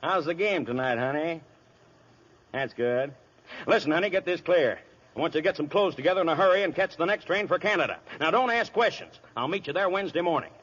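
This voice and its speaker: An American actor playing a crooked, bullying police chief in old radio comedy style, gruffly barking orders in gangster slang. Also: male voice, on the high side, expressive, a little fast, a bit bright. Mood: sweet, hurried. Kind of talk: 1930s-40s radio comedy acting. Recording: dull vintage radio broadcast.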